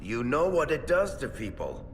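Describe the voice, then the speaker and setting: A man playing a sardonic, world-weary male dwarf rogue in a video game, with dry, expressive delivery. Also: mid-range voice, normal speed, natural voice. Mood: dismayed, accusing. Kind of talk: video game cutscene acting. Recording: echoey cavern reverb, background noise.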